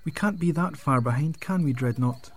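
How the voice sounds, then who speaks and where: An earnest young lord, acted by a man, in an audio drama. His voice sits high for a man and his speech is polite and a little formal. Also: moderate pace, lightly acted. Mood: worried. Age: young adult. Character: earnest, worried young nobleman riding out alone.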